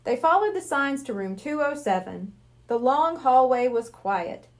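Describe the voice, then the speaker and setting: A woman's voice: high-pitched, big pitch swings, a little slow. A woman reading a children's storybook aloud as scripted narration. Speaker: library reader of a children's book.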